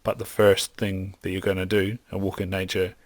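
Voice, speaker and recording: A young man talks eagerly as a podcast guest, piling up restarts and fillers as he goes. His voice sits low. The sound is close and dry, with no room around it. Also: monotone, moderate pace, no room sound. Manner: enthusiastic, rambling with lots of "like" and restarts. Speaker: podcast guest, hunter and optometrist. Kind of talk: casual interview chat.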